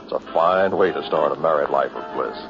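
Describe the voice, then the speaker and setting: An actor playing a confident, teasing new husband in an early 1960s radio drama, on a muffled old recording with music behind. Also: male voice, extremely low pitch, brisk, vocal fry. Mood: breezy, reassuring. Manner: teasing, reassuring. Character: breezy, confident new husband driving his bride.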